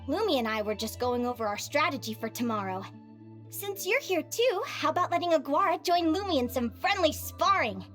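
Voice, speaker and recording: A woman acting as an upbeat, self-assured young advisor in a video game, speaking in a friendly, expressive, conversational way. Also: sits high, a little fast, over music. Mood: focused.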